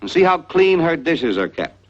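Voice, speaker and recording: A man's voice: on the high side, sing-song, normal speed. A man narrating a scripted 1940s educational film in a didactic, folksy storytelling manner. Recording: dull vintage 1940s film soundtrack.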